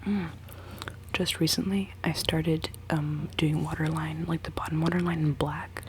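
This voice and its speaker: A woman's voice: level tone, unhurried, a little mellow, a little hiss or hum. A woman in her twenties whispering a rambling, giggly ASMR chat while she does her makeup. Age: 20s. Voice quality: decently low voice. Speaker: ASMR creator.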